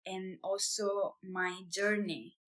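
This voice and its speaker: A woman's voice: medium pitch, steady tone, slow pace, fairly bright tone. Italian woman, thirties, non-native English, casual and hesitant vlogger. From Italy. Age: 30s. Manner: chatty, hesitant, with fillers and restarts. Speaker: vlogger, business owner. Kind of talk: casual personal vlog.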